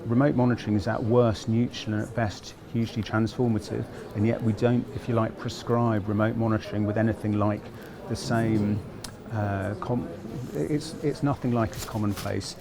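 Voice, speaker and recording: Lower-voiced man over crowd chatter. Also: steady tone. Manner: measured, argues with figures. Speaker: health technology executive. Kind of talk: panel interview at an event. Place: conference event. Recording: busy crowd noise behind.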